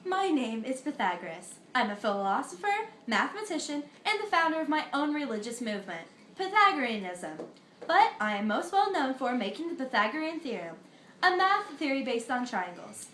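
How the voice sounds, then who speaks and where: Earnest Greek philosopher, played by a teenage girl; high, roomy school-project sound. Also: big pitch swings, a bit bright, a little hiss or hum. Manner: earnest, recited, a little halting. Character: ancient Greek philosopher Pythagoras, an older man, earnest. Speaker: student in a school history project. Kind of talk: amateur mock interview, reciting facts.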